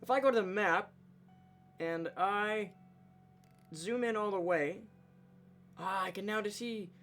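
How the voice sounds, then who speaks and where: A man's voice: high-pitched, a little slow, fairly bright tone, a little hiss or hum. A man giving casual let's play commentary in an offhand, dryly wry way. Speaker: gameplay video creator. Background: game music.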